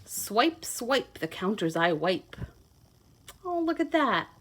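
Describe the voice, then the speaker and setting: A woman reading a children's picture book aloud in a playful, expressive, sing-song way, adding simple asides to her young listeners. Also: fairly high pitch, takes it slowly, slight background noise. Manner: simple child-directed explaining. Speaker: children's read-aloud host, teacher.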